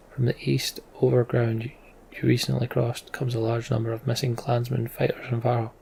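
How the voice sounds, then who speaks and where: A man's voice: medium pitch, vocal fry, a little mellow, a little hiss or hum. A man giving casual game commentary and reading story text aloud as a let's play host.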